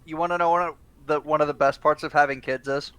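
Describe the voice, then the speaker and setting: Male player, clear resonant tone, quick crude humor. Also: on the high side, fairly even intonation, fairly bright tone, dry recording. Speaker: player, a father. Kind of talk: casual chat before a tabletop game.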